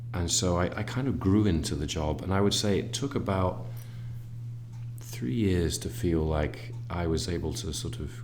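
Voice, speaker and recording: Deep-voiced man, thoughtful and halting. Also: darkish tone, a little hiss or hum. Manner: thoughtful, halting, with many fillers and restarts. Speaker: cellist in a string quartet, interview guest. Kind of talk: reflective conversational interview.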